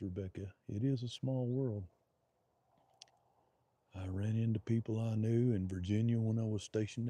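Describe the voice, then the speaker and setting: A middle-aged man from Oklahoma with a drawling accent talks in a low, deep, very mellow and husky voice. He chats in a folksy, rambling way and greets viewers by name during a phone live stream. The sound is dry and close. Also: no echo. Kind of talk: casual live-stream chat and storytelling. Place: outdoor deer blind.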